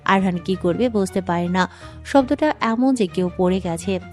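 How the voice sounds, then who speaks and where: A woman's voice: medium pitch, moderate pace, a little mellow, soft background music. A woman reading scripted story narration as the narrator.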